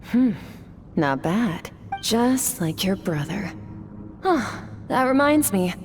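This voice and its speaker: Casting: cool female combat mentor, mid-pitched, detached and measured, game drama over clear music. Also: big pitch swings. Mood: cool, appraising. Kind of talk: video game character acting. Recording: loud music under the voice.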